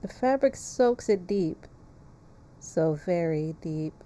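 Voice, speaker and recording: A morbid gothic painter, voiced by a woman reading a visual novel, devoted and hushed. The delivery is dark, mellow and very deliberate, lingering over each phrase. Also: fairly low pitch, animated. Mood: grim, hushed.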